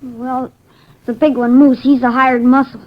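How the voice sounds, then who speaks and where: Wary little boy, high voice, streetwise, plaintive, fifties radio play, muffled vintage sound. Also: flat delivery, a little slow. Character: nine-year-old runaway boy, wary and hurt. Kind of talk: 1950s radio drama acting.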